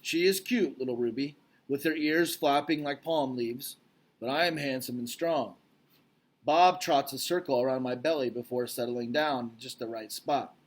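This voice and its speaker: A man's voice: medium pitch, moderate pace. A man reading a children's storybook aloud as narrator. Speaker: read-aloud reader.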